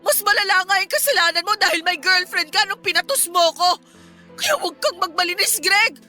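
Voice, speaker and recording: A woman's voice: very high voice, a little fast, pushed and bright, soft background music, heavily acted. A woman playing a hurt, proud young wife and mother in a Filipino radio drama, emotional and pleading with indignation. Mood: angry, accusing.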